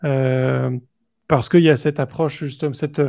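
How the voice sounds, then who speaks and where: A man's voice: medium pitch, level tone, unhurried, gravelly, darkish tone. A man hosting a podcast, asking questions in a casual, hesitant way with many fillers. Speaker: podcast host.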